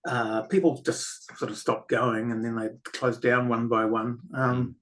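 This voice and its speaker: An older man with a New Zealand accent, a filmmaker and author guest in a podcast interview, telling stories in a reflective, unhurried way. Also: mid-range voice, level tone, fairly bright tone.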